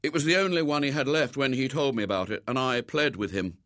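A man's voice: medium pitch, fast, a bit bright, dry recording, studio quality, voice pushed up, natural voice. A man voicing a cheeky storybook schoolboy who puts on a virtuous, mournful, hesitant innocence. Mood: earnest pleading.

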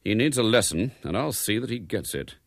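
A stern middle-aged storybook father , voiced by a male reader. He grumbles impatiently, with lively intonation and a dry, close sound. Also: fairly low pitch, measured pace, no echo.

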